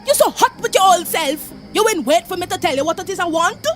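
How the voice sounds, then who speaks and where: A woman playing a nosy, melodramatic middle-aged neighbour with a Trinidadian accent in a radio play, bossy and quick to scold. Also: very high-pitched, expressive, faint music behind. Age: 40s.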